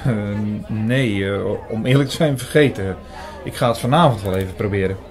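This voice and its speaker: A man playing an earnest, shy priest who narrates an audio drama in a measured, reflective way, hesitant in dialogue. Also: fairly low pitch, darkish tone, some chatter behind, lightly acted.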